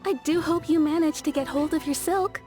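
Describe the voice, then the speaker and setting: A woman's voice: sits high, brisk, a little mellow, soft background music, close to an everyday voice. A woman voicing an obliging tailor shop woman who explains things politely, in video game voice acting.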